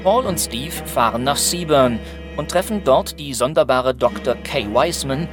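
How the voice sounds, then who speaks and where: Actor as male narrator recapping a detective radio drama, factual, loud music behind him. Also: mid-range voice, expressive, normal speed, natural voice. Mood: factual, suspenseful. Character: matter-of-fact narrator of a detective radio play. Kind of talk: scripted crime story recap narration.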